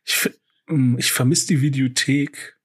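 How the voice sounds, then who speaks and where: A man's voice: medium pitch, fairly even intonation, normal speed, breathy edge. A man interviewing a musician in a casual, easygoing way, asking brief questions.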